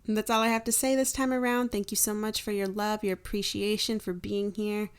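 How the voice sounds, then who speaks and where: A woman's voice: medium pitch, level tone, fairly quick, smooth. A woman hosting a show, giving a personal announcement to her listeners. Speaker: show creator and host.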